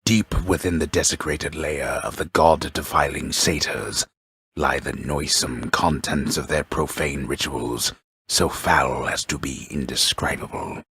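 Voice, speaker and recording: A male actor playing a grave, pompous storyteller in a video game, narrating a mythic epic in an ornate, deliberate, dryly wry manner with a gravelly voice. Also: rumbling low, steady tone, bright, forceful tone, dry recording, clean studio sound, exaggerated voice. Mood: solemn, portentous. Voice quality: gravelly, husky. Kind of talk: theatrical scripted narration.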